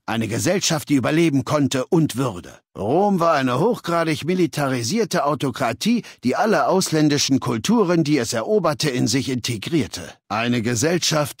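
A man voicing a cold, imperious warlord for a video game, speaking in a measured, self-assured, lecturing way. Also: mid-range voice, slightly rough, no echo, clean studio sound. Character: imperious, cold male warlord ruling a slave-taking legion. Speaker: game character voice. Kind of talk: German video game dialogue.

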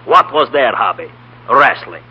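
A man's voice: mid-range voice, animated, measured pace, breathy edge. A man playing an overbearing, declamatory dance master in a 1930s radio comedy. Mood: boastful. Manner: declamatory, commanding. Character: flamboyant, overbearing foreign dance master and wrestling enthusiast. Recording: dull 1930s radio broadcast recording.

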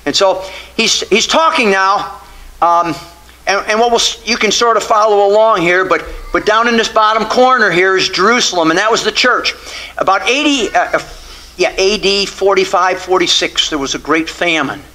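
A man's voice: high voice, normal speed, pushed and bright, poor audio. An American man in his sixties preaching an expository sermon as a pastor, conversational and emphatic, often restating his phrases. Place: church sanctuary, at a pulpit.

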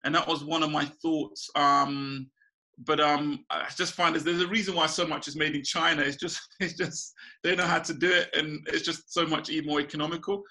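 A bright, gravelly man from Ghana talks on a video call from a small room at home. His voice sits a little high for a man. He is relaxed and chatty, laughs often and restarts his sentences as he goes. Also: moderate pace. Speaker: guest, small business owner. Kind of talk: casual interview over video call. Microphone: video call webcam.

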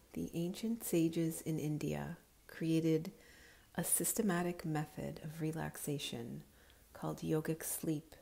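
A woman leads a guided meditation in a soft, fairly low voice with a mellow tone. She speaks very slowly and soothingly, with breathy edges to her phrases, giving calm, unhurried instructions. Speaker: yoga nidra guide.

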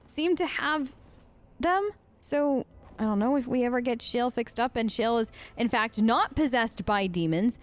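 A woman's voice: on the high side, moderate pace. A woman giving role-play commentary as she plays a game, with hesitant, conversational asides. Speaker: let's player. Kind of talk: role-play gaming commentary, reading in-game text aloud.

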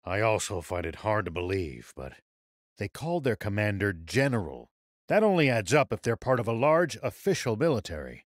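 An actor playing a stern, shrewd older man in a video game, speaking in a serious, deliberate, authoritative way. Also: on the low side, very animated, no room sound, studio quality. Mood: serious, considerate. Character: stern, shrewd old male lawman. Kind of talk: English video game voice acting.

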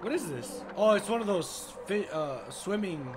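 A man's voice: high-pitched, unhurried, background noise. A young man with a sore throat and a partly lost voice, chatting casually as he plays a game and reacting with awe. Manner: awed, chatty, easily amazed. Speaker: gaming YouTuber. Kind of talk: casual let's play commentary. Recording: gameplay music underneath. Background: calm game music.

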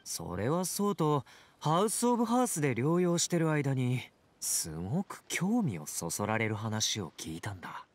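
An actor playing a teasing, playful young fighter in a Japanese game, casual and smooth-talking with an edge. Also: male voice, mid-range voice, sing-song, moderate pace. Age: young adult. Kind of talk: Japanese anime-game voice acting.

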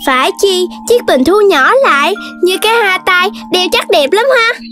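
An actor voicing an eager, curious young puppy child in a children's cartoon, excitable and inquisitive. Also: very high voice, moderate pace, heavily acted. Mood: excited. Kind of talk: animated children's cartoon dubbing. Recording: loud music behind.

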